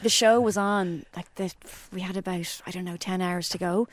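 A woman in her forties with an Irish accent, co-hosting a podcast and telling stories in a lively, animated way. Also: normal speed, dry recording. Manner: animated storyteller, jumps into high quoted voices. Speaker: podcast co-host. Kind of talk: casual chat.

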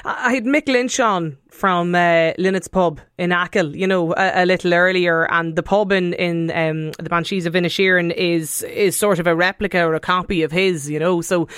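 Chatty radio host, smooth voice. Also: male voice, high voice, fairly even intonation, normal speed, few pauses, a bit bright, no room sound, studio quality. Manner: chatty, encouraging interjections. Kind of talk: radio phone-in interview.

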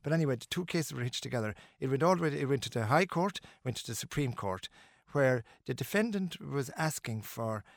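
Irish guy mid-aged, musician in an interview, emphatic, lots of restarts, ordinary pitch, dry sound. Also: animated, a little fast, vocal fry, hardly any pauses, dry recording. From Ireland. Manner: earnest and emphatic, with frequent restarts and fillers.

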